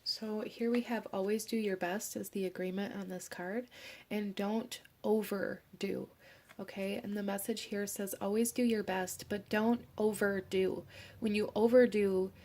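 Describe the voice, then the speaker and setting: A young woman, hoarse from a cold, giving a gentle pick-a-card tarot reading and slipping into soft whispers. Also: mid-range voice, fairly even intonation, unhurried. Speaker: tarot reader.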